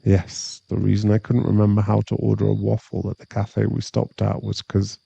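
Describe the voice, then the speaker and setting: A man plays a remorseful former bully, nearly thirty, in an intimate ASMR boyfriend audio drama. His low voice crackles with fry and comes haltingly, sincere and tender. It is recorded close and dry. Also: monotone, dry recording, dull audio, heavily acted. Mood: fond, teasing. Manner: hesitant, halting, soft-spoken sincerity.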